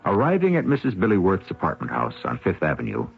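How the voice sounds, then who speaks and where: A man's voice: low voice, huge pitch swings, fast, gravelly. A man hosting and narrating a radio mystery in theatrical, wry, literary phrasing. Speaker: host and narrator. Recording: muffled vintage radio broadcast. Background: music.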